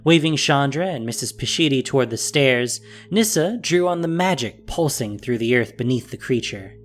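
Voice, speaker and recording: Male narrator for fantasy audio drama, mid-pitched, scripted storytelling with music underneath. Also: lively intonation, normal speed.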